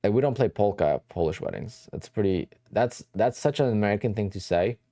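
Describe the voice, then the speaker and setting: A man from New Jersey talks through his livestream in a loose, rambling way. His voice sits very low with a dark, mellow tone, and the recording is close and dry with no room sound. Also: expressive, a little fast, no room sound. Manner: rambling, conversational, answers chat questions. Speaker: day trading livestream host. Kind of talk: casual livestream chat.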